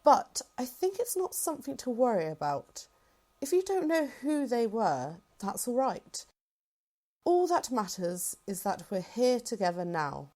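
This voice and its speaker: Woman as a high, lively-voiced rebel general. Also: unhurried. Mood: composed, reassuring. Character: composed, reassuring female rebel general. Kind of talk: fan-made sci-fi roleplay drama.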